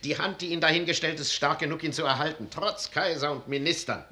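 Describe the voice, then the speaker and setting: An actor playing a boisterous, jovial, spendthrift cavalry general with an exuberant manner, in a 1950s radio drama. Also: male voice, fairly high pitch, quick pace, pushed and bright. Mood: boisterous, amused. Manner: exuberant, chuckling. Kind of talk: 1950s German radio drama verse acting.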